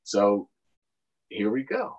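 A man's voice: lowish voice, very animated, slow pace, dull audio, noisy background. Middle-aged man hosting a children's storytime. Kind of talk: casual, friendly chat to children and families. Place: small furnished room indoors, on a video call. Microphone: video call microphone.

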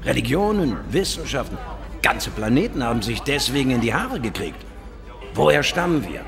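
Lead scientist, dry and confident, animated melody, music behind, science fiction audio drama. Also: male voice, mid-range voice, moderate pace, gravelly. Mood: wry, emphatic. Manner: explanatory, dry, wry. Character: confident, worldly male biologist and space investigator. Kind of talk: radio drama dialogue and first-person narration.

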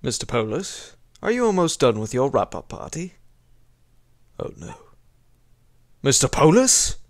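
A man playing an excitable, easily alarmed manor gentleman in an audio drama. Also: mid-range voice, unhurried, gravelly, no room sound, studio quality, exaggerated voice. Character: excitable, alarmed male member of a manor household. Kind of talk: scripted audio drama acting.

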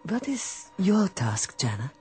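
An actress playing a dutiful, protective robot housemaid with a measured, formal manner in a radio drama. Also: female voice, fairly low pitch, big pitch swings, a little mellow, faint music behind. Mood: formal, reserved.

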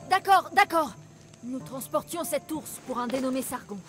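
A woman's voice: high voice, animated, moderate pace, music in the background. An actress playing a wounded young female smuggler in a French game dub, weary and sardonic. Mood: distressed.

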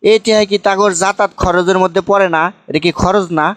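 A man's voice: high voice, steady tone, a little fast, lightly acted. A man voicing an exasperated younger village man humouring his aunt in a comic cartoon, mock-deferential, with a northern Bangladeshi Bogra accent. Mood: questioning.

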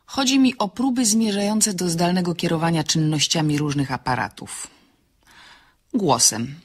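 A woman giving an expressive reading of a novel, acting out the dialogue, in a husky voice. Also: lowish voice, moderate pace.

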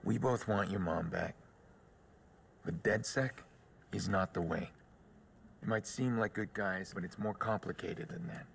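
Casting: brooding game vigilante hacker, low gravelly voice, guarded and terse, some background noise. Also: male voice, a little slow, long pauses. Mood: coaxing. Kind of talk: cinematic video game acting.

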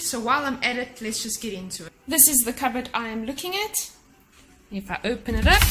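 A woman's voice: sits high, pushed and bright, poor audio. Chatty woman giving home cleaning tips. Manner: chatty and practical, gives tips directly to viewers. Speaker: cleaning vlogger. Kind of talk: casual how-to narration to camera. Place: home kitchen, indoors.

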